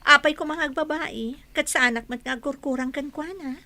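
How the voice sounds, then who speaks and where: A woman's voice: sits high, fairly quick, sharp tone, full character voice. A woman playing a devoted, trusting wife with creeping suspicion in an Ilocano radio drama. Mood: defensive, uneasy.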